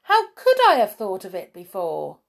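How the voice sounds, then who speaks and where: A woman's voice: extremely high pitch, huge pitch swings, a little slow, darkish tone, pitched above the performer's own voice, full character voice. A woman storyteller acts a male train driver in a children's tale. He protests indignantly, his voice lifted and full of movement.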